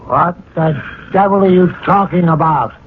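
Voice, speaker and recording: A man's voice: a little high, moderate pace, natural voice. An actor playing a befuddled, skeptical older father in a 1980s radio drama, blustering and stammering. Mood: baffled. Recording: tinny, hissy old radio recording.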